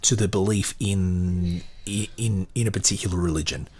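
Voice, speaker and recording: Husky, low-pitched man reading and commenting. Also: normal speed, a little mellow. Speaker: podcast host. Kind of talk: reading aloud with off-the-cuff commentary.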